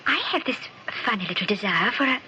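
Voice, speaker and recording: A woman's voice: medium pitch, animated, fast, natural voice. A woman playing a genteel young Edwardian wife in a melodramatic old radio play. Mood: coy, sweet. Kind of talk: 1950s radio drama acting. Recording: noisy old radio transcription.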